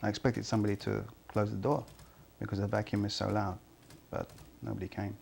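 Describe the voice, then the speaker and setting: An actor playing an uneasy, plain-spoken building cleaner, a man giving halting, understated testimony in a crime drama. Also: fairly low pitch, level tone, creaky, darkish tone, a little hiss or hum. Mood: matter-of-fact. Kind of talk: acted police interview testimony.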